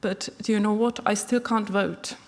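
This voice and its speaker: A woman from Romania moderating a discussion panel, lively and inviting. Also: mid-range voice, normal speed. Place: large hall stage. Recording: long hall reverb.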